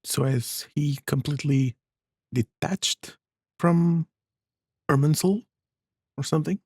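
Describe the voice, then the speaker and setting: A woman giving casual, low-key commentary as a game streamer, in brief asides. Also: slow, darkish tone, slightly rough, no echo, clean studio sound. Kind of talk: casual let's-play commentary.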